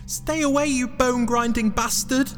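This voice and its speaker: A man voicing an excitable, foul-mouthed young lad in a comic fairy tale. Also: very high voice, slow, in a large hall, faint music behind, pitched above the performer's own voice, heavily acted. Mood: panicked.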